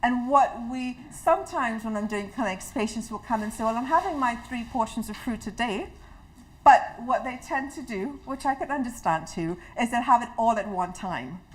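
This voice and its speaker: A woman's voice: a little high, animated, normal speed, poor audio. A woman from Trinidad, leading an interactive health talk to an audience in a chatty, personal way. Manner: warm, chatty, personal, restarts often. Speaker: health educator. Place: community hall with a live audience.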